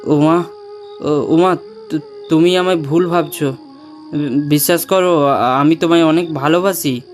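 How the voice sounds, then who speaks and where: A man's voice: a little high, steady tone, a little slow, faint music behind, lightly acted. A man voicing a greedy, two-faced young village lover in a horror cartoon, sly and theatrical. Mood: pleading. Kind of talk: Bengali horror cartoon dialogue.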